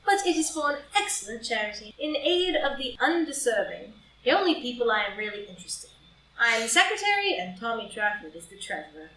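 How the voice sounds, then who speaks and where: Woman as a vain young society lady, play reading, arch and breezy, very animated, echoey room, noisy recording. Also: a little high, fairly quick, hardly any pauses. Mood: polite, flippant. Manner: arch, breezy, teasing drawl. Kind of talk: group play reading for audio drama. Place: live in-person group reading in a room. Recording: roomy, distant live reading, noisy.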